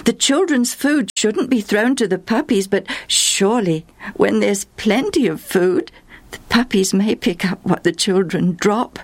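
A woman's voice: medium pitch, expressive, a little slow, no room sound, voice pushed up. A woman voicing a pleading, desperate mother. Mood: eager. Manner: urgent, pleading. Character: desperate, eager mother pleading for her sick daughter.

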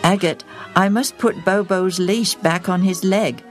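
A woman voicing an excitable, polite boy with an eager manner in a children's story. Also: mid-range voice, a little slow, soft background music, pitched above the performer's own voice, natural voice. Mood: friendly. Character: excitable, polite boy chasing his pet parrot.

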